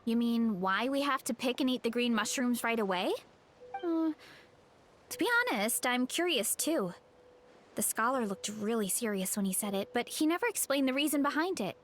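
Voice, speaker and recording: A woman playing an earnest, sweet young food-loving heroine in a video game, with a gentle manner. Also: a little high, expressive, normal speed, a little hiss or hum, close to an everyday voice. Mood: hesitant, earnest. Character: earnest, cheerful young woman who loves food and her tribe.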